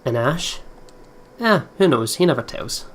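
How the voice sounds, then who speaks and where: A man hosting a gaming video, reading story text aloud and thinking out loud in a chatty way. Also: medium pitch, very animated, measured pace, a little hiss or hum.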